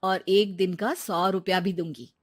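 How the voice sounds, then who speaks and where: A woman voicing a frank, brisk middle-aged seamstress who talks in a firm, practical way. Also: fairly low pitch, fairly even intonation, no echo, clean studio sound.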